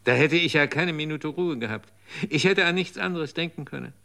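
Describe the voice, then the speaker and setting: A bright, incredulous questioner. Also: male voice, a little high, fairly quick, creaky. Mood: horrified. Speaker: character in a radio drama. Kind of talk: radio drama acting.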